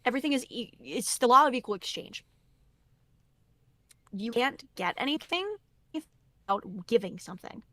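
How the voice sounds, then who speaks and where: A woman's voice: on the high side, lively intonation, normal speed, pauses often, bright, forceful tone, no room sound. Earnest woman giving creator advice. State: losing her voice. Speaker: guest content creator. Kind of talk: casual podcast conversation.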